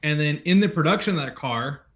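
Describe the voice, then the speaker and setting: A man hosting a casual car conversation, relaxed and rambling, with hesitant restarts. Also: a little high, fairly quick. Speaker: host.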